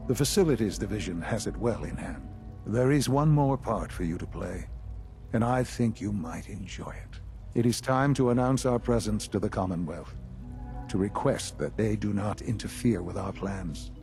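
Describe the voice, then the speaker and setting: A man playing a composed, authoritative senior scientist in a video game, speaking in a measured, formal way. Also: on the low side, a little mellow, faint music behind, lightly acted. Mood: calm, assured.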